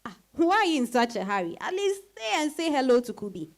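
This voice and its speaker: A woman performing an outspoken, teasing married friend in a dramatized reading, animated and exclamatory. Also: high voice, quick pace. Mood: playful.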